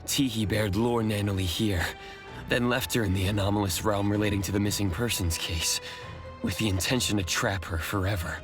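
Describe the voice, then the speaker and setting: A man's voice: fairly low pitch, fairly even intonation, moderate pace, close to an everyday voice. A man playing an earnest, protective investigator in an anime-style video game. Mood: realizing, stern. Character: earnest male investigator, protective, indignant at villains. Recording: music clearly behind the voice.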